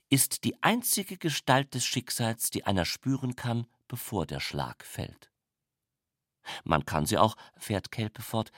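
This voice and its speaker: Slow literary reader with swooping intonation. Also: medium pitch, no room sound, studio quality. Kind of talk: literary reading, scripted narration.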